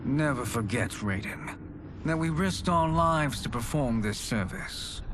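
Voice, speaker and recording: A man's voice: mid-range voice, animated, unhurried, background noise, lightly acted. An actor playing a scheming, sardonic, menacing male sorcerer villain in a video game cutscene. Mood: smug.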